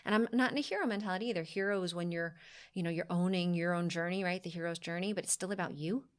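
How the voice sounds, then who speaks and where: A woman in her forties, a guest on a podcast, talking in an emphatic, exuberant, self-affirming way with quick bursts. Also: on the low side. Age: 40s. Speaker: podcast guest, fitness company founder. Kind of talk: casual podcast interview.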